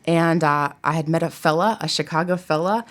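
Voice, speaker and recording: Woman, middle-aged, from Tennessee, low-pitched voice, chatty, expressive, casual interview guest. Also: a little fast, a little hiss or hum. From Tennessee, United States. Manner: chatty, expressive, informal asides. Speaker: guest, theater professor. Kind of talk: casual chat.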